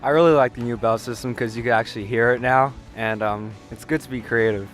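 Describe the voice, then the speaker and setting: A teenage male student gives casual interview opinions, talking very quickly. Faint music sits behind his voice. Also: medium pitch, fairly even intonation. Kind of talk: casual vox pop answer.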